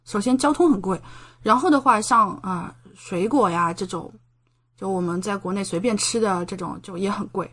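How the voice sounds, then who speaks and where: A woman's voice: medium pitch, normal speed, a bit bright. Female podcast guest, young adult, mid-range voice, conversational and explanatory delivery. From China, Shanghai area. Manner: chatty, explanatory, gives examples with acted-out dialogue. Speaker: podcast guest, doctoral student in Japan. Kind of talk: casual interview conversation.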